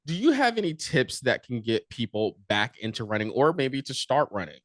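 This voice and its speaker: A woman's voice: very deep voice, very animated, moderate pace, dry recording, clean studio sound. A woman radio host chatting playfully with a guest over a video call, asking upbeat, joking questions. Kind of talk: casual video-call interview.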